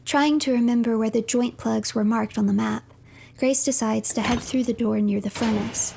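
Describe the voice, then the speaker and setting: Woman, story narrator, high voice, gravelly fry, hushed phrase endings, game ambience and noise behind. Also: fairly even intonation, moderate pace. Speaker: streamer narrating game playthrough. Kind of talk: scripted third-person story narration over gameplay.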